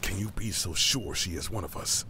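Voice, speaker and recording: An actor playing a wary, cautioning brother god in an audio drama, grave and deliberate in manner. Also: male voice, low voice, noisy background, natural voice.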